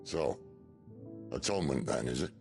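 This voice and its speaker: An actor with a British accent playing a wry, world-weary outlaw leader in a video game, with a gravelly voice. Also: male voice, a bass voice, animated, a little mellow, soft background music. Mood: wry, reflective. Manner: wry, unhurried. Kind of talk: dramatic video game acting.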